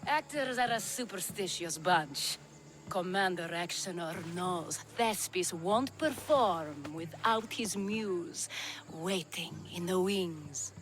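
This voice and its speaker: An actress playing a worldly, flirtatious courtesan in a video game cutscene, with a languid, wry manner. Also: female voice, lowish voice, expressive, slow pace, a little hiss or hum. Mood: confiding.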